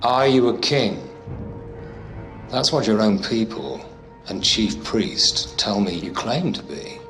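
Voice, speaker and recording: Man acting stern Roman governor, very low voice, impatient, biblical drama, echoey, music underneath. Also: lively intonation, takes it slowly, fairly bright tone.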